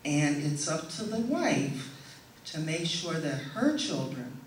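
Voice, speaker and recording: A middle-aged woman giving a prepared testimonial speech to an audience in a measured, deliberate way. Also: low-pitched, very animated. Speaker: speaker giving a presentation. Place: indoor stage in a hall, before an audience. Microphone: stand microphone, heard from a distant camera. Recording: echoey hall, distant camera, faint noise.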